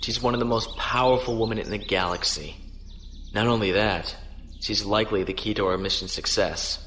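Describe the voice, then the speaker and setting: A male actor as an earnest starship captain in a space radio play, his voice on the low side. He is polite and formal, measured in delivery, with faint noise behind him. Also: steady tone, a bit bright, natural voice. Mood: concerned. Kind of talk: audio drama acting.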